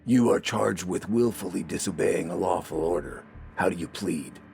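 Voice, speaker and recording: A man's voice: deep voice, normal speed, vocal fry, darkish tone. A man voicing a grim, unflappable army corporal in an audio story, curt and commanding. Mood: stern, commanding.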